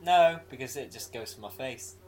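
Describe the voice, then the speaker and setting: Lively, giggly co-host. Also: male voice, mid-range voice, normal speed. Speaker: podcast co-host. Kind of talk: casual podcast chat over a call.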